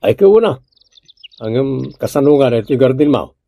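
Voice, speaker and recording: A man's voice: medium pitch, lively intonation, normal speed, gravelly, dark tone. A man with a Filipino accent playing a chummy, teasing village buddy in a radio comedy drama.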